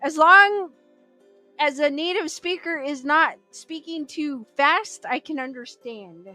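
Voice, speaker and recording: A woman's voice: sits high, slow, pushed and bright, faint music behind. A woman hosting a livestream, chatting casually and laughing often as she reads viewer comments aloud. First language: Korean as early childhood language. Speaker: livestream host. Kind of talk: casual chat reading live comments.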